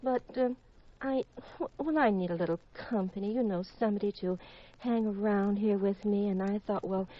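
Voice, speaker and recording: Actress as a flirty widow on vintage radio. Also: female voice, mid-range voice, moderate pace, lightly acted. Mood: seductive. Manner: coy, teasing, unsentimental. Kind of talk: 1950s radio detective drama acting. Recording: dull vintage radio recording.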